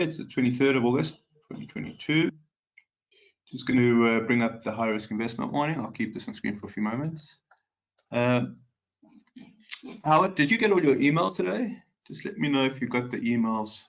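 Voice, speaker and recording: A man with a nasal, slightly congested voice hosting a live market webinar, chatting with viewers by name and filling pauses with ums. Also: fairly low pitch, dull audio. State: congested from a cold.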